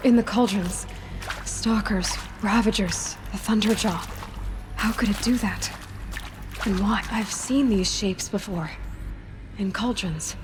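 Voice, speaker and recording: A woman's voice: medium pitch, noisy background, close to an everyday voice. An actress playing a determined young female hunter who speaks in an earnest, questioning way.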